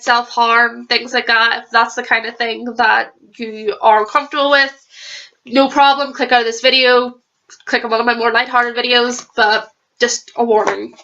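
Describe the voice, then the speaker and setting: A young woman talks to her channel in a loose, rambling book review, stuffed up with a cold. Her voice sits a little higher than most and has a bright, sharp edge. She trips over words and restarts sentences freely. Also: flat delivery, normal speed, dull audio. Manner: rambling, chatty, with frequent restarts. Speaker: vlogger. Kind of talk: casual book review vlog.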